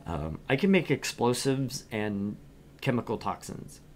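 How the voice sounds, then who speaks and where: A man's voice: medium pitch, big pitch swings, measured pace, slight background noise. A man streaming games and chatting casually in a jokey way. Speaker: game streamer.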